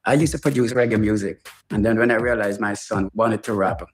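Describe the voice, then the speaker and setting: An older man, a father, telling animated stories in a documentary interview. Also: lowish voice, a little fast, soft background music. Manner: animated storytelling, acts out quoted dialogue.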